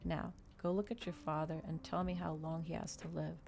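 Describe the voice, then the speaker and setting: A woman reading a novel aloud in ASMR style, with a soft, hushed voice and measured, unhurried delivery. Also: deep voice, flat delivery, vocal fry, over music. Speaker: ASMR streamer.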